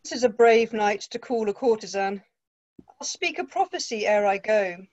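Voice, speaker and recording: A woman reading the part of a quipping male court fool, in brisk riddling patter. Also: mid-range voice, expressive, a little mellow, muffled recording, close to an everyday voice. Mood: wry. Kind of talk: play read-through.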